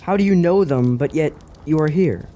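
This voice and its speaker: A man's voice: on the high side, lively intonation, moderate pace, mellow, a little hiss or hum, natural voice. A man playing an earnest young lad seeking truth in a fantasy radio drama, questioning in sincere, formal phrases. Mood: searching, puzzled.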